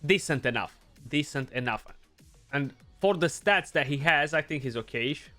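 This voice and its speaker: A man's voice: fairly high pitch, lively intonation, moderate pace, bright, forceful tone, faint music behind. A young man with a Romanian accent giving casual gameplay commentary, thinking aloud and often adding "you know". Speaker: gaming YouTuber.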